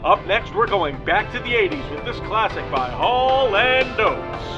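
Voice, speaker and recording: A man's voice: high voice, very animated, unhurried, loud music behind the voice. A man playing an upbeat radio DJ in an audio drama, announcing songs in polished patter over a muffled car radio.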